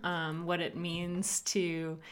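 A woman's voice: fairly low pitch, monotone, slow. Cheerful woman co-hosting a podcast. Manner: cheerful, laughs often. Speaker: podcast co-host. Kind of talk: casual podcast chat.

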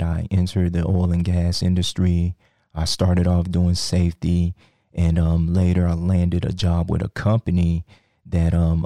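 Very deep, flat-voiced man with many ums. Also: no echo, studio quality. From Nigeria, raised in Texas. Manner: hesitant, many ums and restarts. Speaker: guest, crypto trader. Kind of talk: podcast interview. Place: podcast studio.

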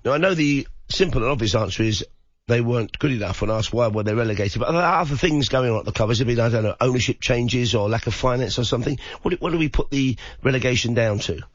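Quick-talking croaky radio host, man. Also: medium pitch, no echo, highs cut off. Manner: chatty, leading the guest with questions. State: croaky with a cold. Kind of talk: late-night radio talk.

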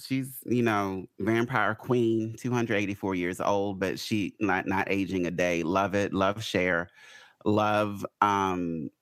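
A man co-hosting a casual pop culture chat, talking in an animated, rambling way. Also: lowish voice, dry recording, studio quality. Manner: animated, rambling, quick to rant. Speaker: podcast co-host.

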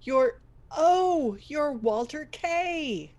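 Radio station boss, played by a woman, female: earnest, frazzled, theatrical audio comedy, higher register, very animated. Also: drawn-out words, exaggerated voice. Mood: startled. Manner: theatrical, exasperated, wry. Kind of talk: scripted audio comedy acting.

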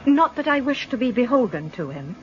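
An actress playing a hesitant, proud country widow in a radio drama, speaking in a halting, subdued way. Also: female voice, a little high, very animated. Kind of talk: classic radio drama acting. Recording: dull, noisy vintage radio recording.

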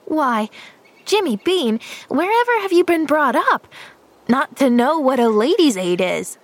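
A woman's voice: sits high, animated, normal speed, voice pushed up. A woman voicing an eager, kind-hearted young girl in an audiobook, chattering in a breathless, earnest, gushing way. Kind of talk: audiobook character voice.

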